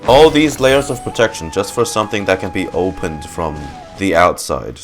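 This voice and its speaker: A man's voice: low voice, normal speed, over music. A man giving casual, slangy game reaction commentary, thinking aloud as he plays. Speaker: gamer streamer reacting to a story quest.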